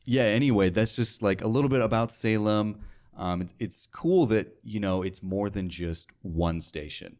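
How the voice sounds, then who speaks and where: A man's voice: low-pitched, darkish tone. A young American man talking casually as a guest in an interview. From United States, North Dakota. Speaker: interview guest, radio sales associate.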